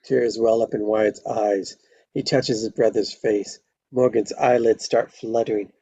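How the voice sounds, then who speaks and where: A man's voice: lowish voice, steady tone, unhurried, warm tone, husky, lo-fi sound. A man narrating a screenplay at a live table read, stumbling over words now and then and correcting himself.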